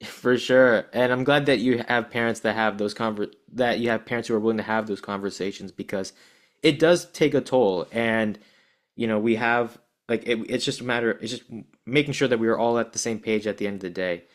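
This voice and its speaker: A man's voice: lowish voice, steady tone, fairly quick. A man hosting an interview, asking long, rambling questions full of restarts. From United States, Bangladeshi parents. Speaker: podcast host, interviewer. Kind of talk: casual interview conversation.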